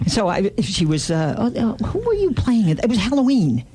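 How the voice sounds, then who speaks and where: A woman's voice: medium pitch, huge pitch swings, moderate pace, warm tone, a little hiss or hum. A woman hosting a live radio interview in a studio, effusive and emphatic, often repeating herself for emphasis. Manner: effusive, complimentary, emphatic repetition. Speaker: radio host interviewing guests. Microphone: studio microphone.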